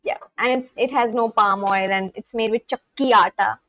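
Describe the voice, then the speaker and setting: Woman, twenties, Indian English accent, high voice, dry close sound, chatty live streamer. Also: moderate pace, fairly bright tone, no echo, low-quality recording. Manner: chatty, reads viewer comments aloud, frequent likes and laughs. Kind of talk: casual live-stream chat with viewers.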